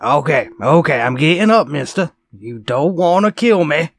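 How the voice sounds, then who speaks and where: A man playing a cowardly, panicked western outlaw in a radio drama, loud, frantic and pleading. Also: a little high, animated, moderate pace, no echo. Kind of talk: old-time radio western acting.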